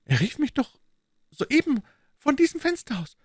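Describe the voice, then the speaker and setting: A passionate young Italian woman from a storybook, excitable and devoted, her exclamations tumbling out in a high falsetto as a male reader puts her on. Her lines leap up and down with feeling, recorded close and dry. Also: normal speed, no room sound. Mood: anxious. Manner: breathless, exclaiming, rising falsetto.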